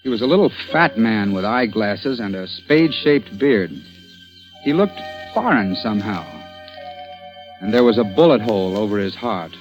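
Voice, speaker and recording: Bewildered young amateur sleuth played by a male actor, swooping animated delivery, vintage radio mystery, dull lo-fi sound. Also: mid-range voice, lightly acted. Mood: shaken narration. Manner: dramatic, exclamatory. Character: agitated, stubborn young man-about-town, bewildered amateur sleuth. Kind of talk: old-time radio mystery acting and narration. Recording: dull, band-limited vintage radio recording.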